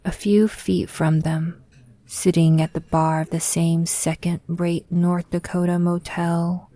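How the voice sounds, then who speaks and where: Slow, mellow female narrator. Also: on the low side, level tone. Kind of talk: scripted dramatic narration.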